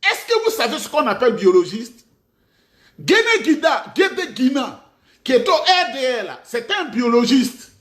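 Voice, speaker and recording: A man's voice: very high voice, sing-song, measured pace, fairly bright tone. A middle-aged man from Côte d'Ivoire with an Ivorian French accent, delivering a mocking, rhetorical political monologue with sudden outbursts. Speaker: political commentator. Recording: echoey room.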